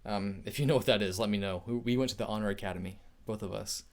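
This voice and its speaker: A man's voice: lowish voice, fast talker. Upbeat chatty man hosting live. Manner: upbeat, chatty, laughs often. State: overheated. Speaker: tech YouTuber hosting a live Q&A. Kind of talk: casual live-stream chat.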